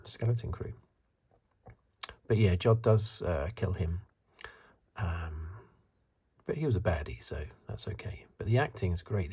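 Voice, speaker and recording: A man giving soft-spoken, partly whispered ASMR review commentary in a breathy, hesitant, musing way. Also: sits low. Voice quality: breathy, hushed. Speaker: host of a film review video.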